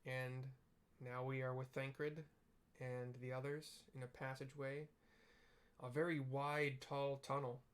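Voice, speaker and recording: A man's voice: medium pitch, level tone, unhurried, clean tone. A young man casually narrating a game cutscene in a rambling way, full of "like" and "kinda.". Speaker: let's play narrator.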